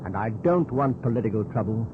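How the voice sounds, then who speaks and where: An actor playing a stubborn, sardonic British pilot for hire in an old radio drama, blunt and wary. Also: male voice, lowish voice, expressive, brisk, close to an everyday voice. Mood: indignant. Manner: blunt, sardonic, clipped retorts. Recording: muffled narrowband old radio, loud noise.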